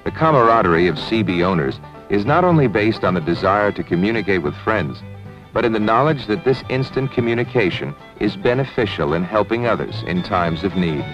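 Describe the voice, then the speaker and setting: A man narrates in a formal, measured documentary style. His voice sits low. Music plays behind him on a 1970s film soundtrack. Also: expressive, muffled recording. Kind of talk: scripted newsreel-style narration. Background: background music.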